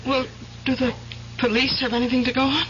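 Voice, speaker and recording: A woman's voice: sits high, level tone, brisk, fairly bright tone. An actress playing a concerned, practical woman in a 1970s radio drama. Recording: muffled, hissy old radio recording.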